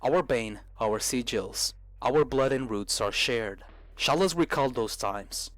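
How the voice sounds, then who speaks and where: Horror story spirit, read by a man: drowned, vengeful, solemn and ominous, slow grave delivery, dry close recording. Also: mid-range voice, fairly bright tone, dry recording, voice pushed down. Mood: solemn menace.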